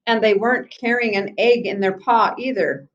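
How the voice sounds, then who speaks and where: Woman as young storybook girl, observant, confident, earnest, raised pitch. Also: moderate pace, highs cut off, lightly acted.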